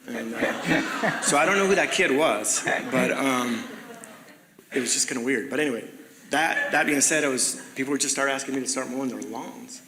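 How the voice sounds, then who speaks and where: Middle-aged guy with a gravelly voice, rambly and laughy, lots of ums, big echoey hall. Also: medium pitch, moderate pace, noisy background. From Venezuela and Ecuador. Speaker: interview guest. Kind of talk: casual storytelling interview. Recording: echoey hall with long reverb.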